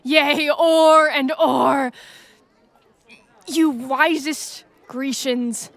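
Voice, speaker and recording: A young woman plays a bold Trojan warrior in a Shakespeare audio drama. The voice is clearly high, with slow, measured declamation and a defiant, honourable bearing. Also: steady tone, a bit bright, heavily acted. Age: young adult.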